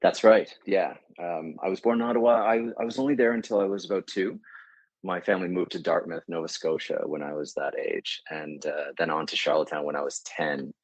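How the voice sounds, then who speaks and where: A man's voice: deep voice, fairly even intonation, a little fast, no room sound. A man in his thirties with a Canadian accent, answering interview questions in a casual, thoughtful, slightly hesitant way. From Canada, Prince Edward Island. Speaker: podcast guest, media agency CEO.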